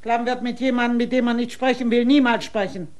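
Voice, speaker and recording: An actress playing a sharp-tongued female village innkeeper in a radio drama, with a cutting, scolding way of talking. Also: a little high, fairly even intonation, a little fast, natural voice.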